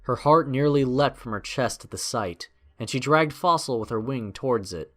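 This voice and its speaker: Mid-pitched man narrating a dramatic story. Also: normal speed, fairly bright tone.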